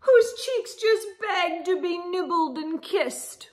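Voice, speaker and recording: A middle-aged woman hosting a children's storytime, reading aloud expressively with drawn-out words and gentle asides. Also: extremely high pitch. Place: indoor room lined with bookshelves.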